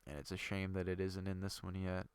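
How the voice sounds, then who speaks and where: A man's voice: low-pitched, completely flat delivery, a little fast, clean tone, no room sound. A young man with a stuffy nose from a cold, rambling casually and thinking aloud as he plays and builds. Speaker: gaming video creator. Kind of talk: casual gameplay commentary.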